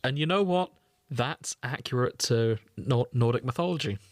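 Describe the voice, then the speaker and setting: A man's voice: mid-range voice, expressive, moderate pace, dry recording, clean studio sound. A man co-hosting a casual podcast, chatting in a jokey, irreverent and sweary way. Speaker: podcast co-host. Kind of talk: casual banter.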